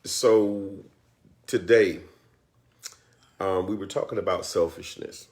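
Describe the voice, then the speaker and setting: Brief: deep, gravelly man, affectionate, turns earnest. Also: fairly even intonation, slow pace, darkish tone. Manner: teasing, affectionate, then earnest. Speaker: co-host of a church live stream. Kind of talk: casual chat turning to teaching.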